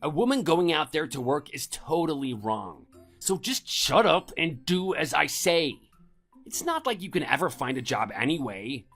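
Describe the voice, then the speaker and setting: A man's voice: on the high side, very animated, moderate pace, a bit bright, soft background music, exaggerated voice. An actor playing a domineering, contemptuous middle-aged husband scolding his wife in a scripted audio drama.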